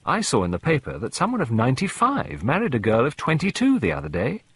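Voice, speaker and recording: A man's voice: medium pitch, huge pitch swings, fast, a bit bright, slightly rough, pitched above the performer's own voice, full character voice. A man voicing an eager, slangy, exclamatory schoolboy in an audiobook. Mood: cheerful. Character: eager, slangy English schoolboys at Christmas dinner. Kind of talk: audiobook character voice.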